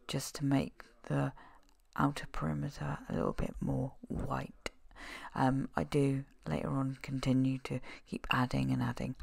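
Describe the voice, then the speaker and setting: Woman with a cold, husky and deeply gravelly, in a dry close voice-over for a makeup tutorial. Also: a little mellow, no echo. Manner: chatty and self-deprecating, with frequent ums. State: has a cold. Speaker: makeup vlogger.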